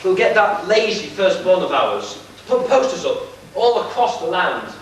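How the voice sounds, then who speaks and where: A man's voice: high voice, big pitch swings, moderate pace. A man playing a bossy, exasperated king and father in an amateur stage pantomime, declaiming with sardonic relish. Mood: sardonic grumbling. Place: church pantomime stage, far camera. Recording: echoey distant noisy amateur stage video.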